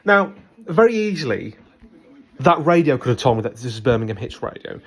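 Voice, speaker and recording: A man giving a hands-on spoken product review in a hesitant, repetitive, measured but opinionated way. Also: medium pitch, sing-song, slightly rough. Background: background noise and a radio.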